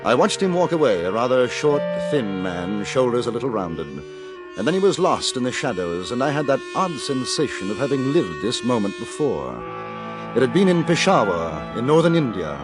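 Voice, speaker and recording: An actor as a dry, understated ex-cavalry captain. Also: male voice, mid-range voice, sing-song, normal speed, natural voice. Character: reserved English ex-cavalry captain, adult man. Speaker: narrator and lead. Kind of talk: 1950s radio drama acting. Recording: old radio broadcast with music bed.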